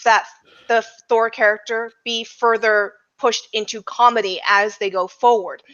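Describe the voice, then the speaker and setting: Slightly muffled, lo-fi audio carries a woman's bright, fairly high voice. She speaks as a panelist in a casual roundtable, polite and emphatic, often halting while she assembles her point. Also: level tone. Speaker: podcast panelist discussing a film.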